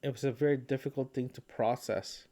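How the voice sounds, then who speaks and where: A man who is sick and grieving records a reflective solo audio diary at home, speaking haltingly and searching for words. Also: mid-range voice, fairly even intonation, warm tone. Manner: halting, searching for words, frequent throat clearing. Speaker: podcast host recording a personal audio log. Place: indoors at a family home.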